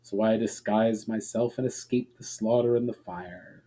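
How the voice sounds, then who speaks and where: Man voicing a sombre, mellow old elven general. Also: fairly low pitch, steady tone, close to an everyday voice. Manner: slow, measured, solemn, quiet.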